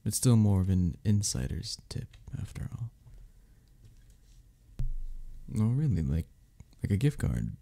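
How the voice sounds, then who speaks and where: A man playing a flirty, friendly club member in an ASMR romance roleplay, teasing and reassuring in a breathy, gravelly voice. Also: sits low, warm tone, heavily acted. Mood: friendly, curious. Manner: teasing, reassuring, chuckles between phrases. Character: flirty, friendly male cuddle club member showing a newcomer around. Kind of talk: ASMR romance roleplay, one-sided conversation.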